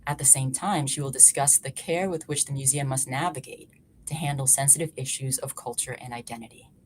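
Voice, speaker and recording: A woman with a very low-pitched voice reads a formal, scripted introduction as the host of a talk. Faint noise sits behind her voice. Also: a little fast. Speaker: event host introducing a speaker.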